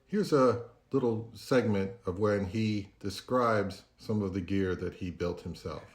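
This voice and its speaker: Low-voiced male interviewer. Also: a little slow. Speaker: host, interviewer. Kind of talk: casual interview questions.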